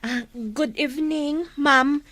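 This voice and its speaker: Humble sweet ingénue for Ilocano radio drama: high pitch, unhurried, close dry sound. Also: female voice, steady tone, sharp tone, no echo, exaggerated voice. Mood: polite. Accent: Filipino, Ilocano. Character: humble, sweet young pregnant woman.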